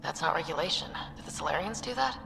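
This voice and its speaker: A woman's voice: low voice, animated, quick pace, gravelly, background noise, heavily acted. A woman voicing a determined, level-headed young space explorer leader in a video game. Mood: resolute.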